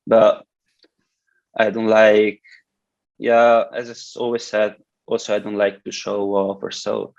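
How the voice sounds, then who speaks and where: Hesitant young Swiss-Italian man, gravelly. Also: lowish voice, completely flat delivery, a little slow, pauses often, no room sound. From Switzerland. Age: 20s. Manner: hesitant, many restarts and fillers. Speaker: guest swimmer. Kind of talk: casual interview. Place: indoor room with curtains. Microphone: video call, laptop camera. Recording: dull lo-fi video call audio.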